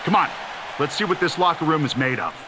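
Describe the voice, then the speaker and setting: A man's voice: on the high side, animated, fast talker, a crowd in the background, noisy background, lightly acted. A middle-aged man playing a proud, determined veteran champion wrestler in a video game, speaking in an earnest promo style. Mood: defiant. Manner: earnest, forceful.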